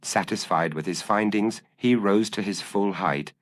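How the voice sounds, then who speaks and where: A man narrating a scripted gothic mystery story in a measured, theatrical, formal storytelling manner. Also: fairly low pitch, no echo. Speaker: audiobook narrator.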